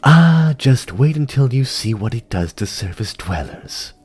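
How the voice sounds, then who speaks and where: A man's voice: medium pitch, big pitch swings, unhurried, darkish tone, husky, voice pushed up. A man voicing a sly, scholarly female spider vizier in a fantasy audio drama, with a refined, wry, courteous manner. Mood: wry, eager.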